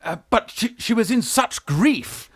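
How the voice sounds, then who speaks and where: A bashful young English lord of student age played by a man in a Victorian radio farce. His voice swings about in a highish register, close and dry, stammering good-naturedly through upper-class slang. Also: animated, unhurried, husky, no room sound, exaggerated voice. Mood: wistful, bashful. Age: young adult. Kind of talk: radio play farce acting.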